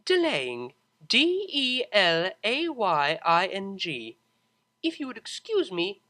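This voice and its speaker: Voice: mid-range voice, wildly swooping pitch, takes it slowly, bright, forceful tone, voice pushed up, heavily acted. A performer voicing a refined, awkwardly polite and impatient young mare in an audiobook. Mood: exasperated. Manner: polite, prim, exasperated under courtesy. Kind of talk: audiobook character voice.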